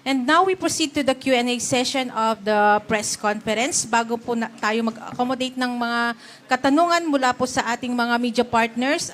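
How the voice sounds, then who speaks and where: Female moderator, Filipino accent, fairly high voice, courteous and orderly delivery for a formal press briefing. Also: fairly bright tone, slight background noise. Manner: polite, procedural.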